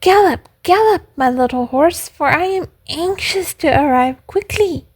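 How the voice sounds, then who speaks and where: A woman's voice: extremely high pitch, animated, a little slow, pitched above the performer's own voice, full character voice, poor audio. A woman voicing an emotional wooden puppet boy from a storybook, plaintive and full of exclamations and questions. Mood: excited. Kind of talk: storybook character voice.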